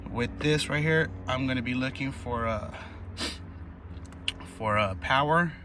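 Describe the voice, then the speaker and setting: Man talking through a job over background noise. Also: medium pitch. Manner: informal, halting, thinking aloud while working. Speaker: host of a DIY car installation video. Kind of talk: casual hands-on tutorial talk to viewers. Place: in or beside a car. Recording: clear background noise, vehicle ambience.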